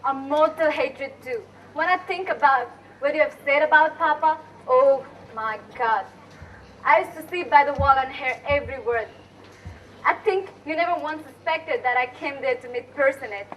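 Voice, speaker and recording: A romantic young bride-to-be in a school stage play, acted by a young woman. Her voice is high and comes from a distance, with faint noise in the room. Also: normal speed, fairly bright tone. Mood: fond, teasing. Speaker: student actor. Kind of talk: amateur stage play acting. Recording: distant mic, noisy room.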